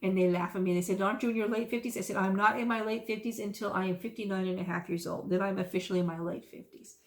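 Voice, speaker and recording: A woman's voice: medium pitch, fairly even intonation, fast talker. Chatty woman in her fifties. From South Texas. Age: 50s. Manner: rambling, folksy, self-amused asides. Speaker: stitching vlogger. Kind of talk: casual chatty vlog.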